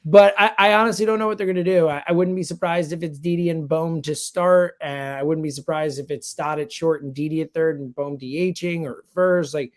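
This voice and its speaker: A man's voice: a little high, fairly even intonation, brisk, hardly any pauses. A man giving blunt, opinionated sports analysis as a guest commentator, in casual, slangy and emphatic talk. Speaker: sports radio producer and host, guest commentator.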